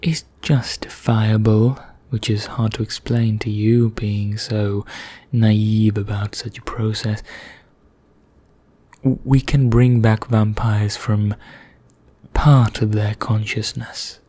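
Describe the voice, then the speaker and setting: A man playing a vain, condescending vampire in an ASMR roleplay, speaking formally with measured pauses in a husky, breathy voice that nears a whisper. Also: fairly low pitch, flat delivery, dark tone, no room sound. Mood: condescending, explanatory. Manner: slow, measured, formal, pompous, with deliberate pauses. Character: vain, condescending male vampire elder, calmly sinister.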